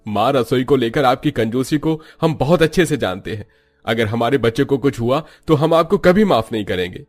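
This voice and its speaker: A man's voice: mid-range voice, expressive, normal speed, faint music behind, natural voice. A man voicing a worried, angry young husband in a cartoon story, reproaching his mother in an earnest way. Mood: accusing. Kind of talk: Hindi cartoon story character voice.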